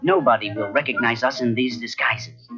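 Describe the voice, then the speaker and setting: A man's voice: mid-range voice, moderate pace, close to an everyday voice. A man playing a cheerful, confident outlaw hero in a children's storybook, with a friendly manner. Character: cheerful, daring young male outlaw hero. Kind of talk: children's cartoon storybook acting. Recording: dull cassette, faint music behind.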